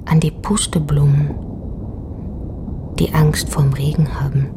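An actress playing a haunting, beckoning woman in a poetic radio drama, hushed and incantatory, with a breathy, whispering voice. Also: sits low, little pitch movement, slow. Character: haunting female voice calling someone to remember. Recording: clear background noise, ambience.

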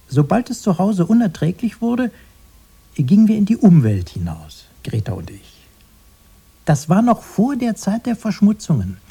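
An actor playing a protective, simple-minded and haunted grown-up brother in a radio drama, halting and repetitive, soothing one moment and evasive the next. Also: male voice, sits high, creaky, warm tone, husky, full character voice. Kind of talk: 1980s German radio drama acting.

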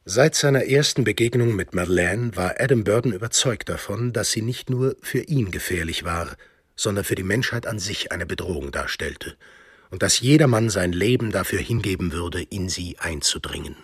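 A man's voice: deep voice, expressive, normal speed, breathy edge, no room sound, studio quality. A man narrating a radio drama in a scripted, literary storytelling style. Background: music.

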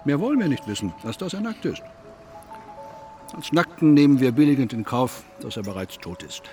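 An actor playing a cynical, coolly provocative man in a stylised radio play, smug, needling and deliberate. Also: mid-range voice, big pitch swings, darkish tone, faint music behind, natural voice. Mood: detached, declamatory.

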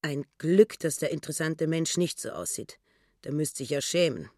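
A man's voice: on the high side, animated, moderate pace, dark tone, no room sound, clean studio sound, lightly acted. A man acting in a radio drama, voicing a pious woman's simple, indignant thoughts in an emphatic, naive, moralizing way. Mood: self-satisfied.

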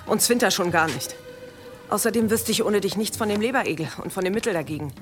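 Village mother female actor, middle-aged, medium pitch, brisk and bossy, practical radio drama delivery with music underneath. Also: expressive, close to an everyday voice. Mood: grave, urgent. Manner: brisk, commanding. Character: resolute, practical village mother with grown children. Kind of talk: German radio play acting.

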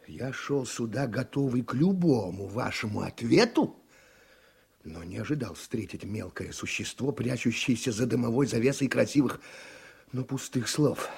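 Radio-play power broker: commanding, scornful, mid-pitched, husky and gravelly. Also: male voice, expressive, unhurried, long pauses, heavily acted. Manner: forceful, rhetorical, commanding. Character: hard-driving, scornful male political operator, commanding. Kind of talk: Soviet-era radio drama acting.

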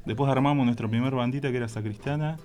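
A man's voice: mid-range voice, fairly even intonation, quick pace, darkish tone. A man, joking and telling stories on a radio show, laughing often. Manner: playful, storytelling, laughs often. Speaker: band member guest. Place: radio studio.

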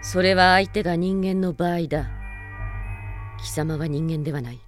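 A woman's voice: on the low side, normal speed, natural voice. An actress playing a proud, defiant female dragon knight who speaks in a formal, commanding way in a Japanese radio drama. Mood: cold fury. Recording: drama production with music behind.

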